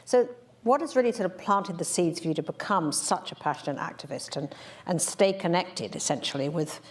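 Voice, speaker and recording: A woman moderating a panel, asking brisk questions and often stumbling into restarts. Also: on the low side, lively intonation. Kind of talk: panel interview.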